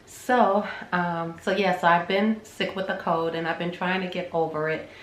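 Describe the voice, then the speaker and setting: A woman's voice: fairly low pitch, fairly even intonation, moderate pace, reverberant, poor audio. A woman with a cold, sounding congested, chatting casually to her vlog viewers in a rambling way with lots of ums. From Chicago. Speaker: vlogger. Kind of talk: casual chat to camera.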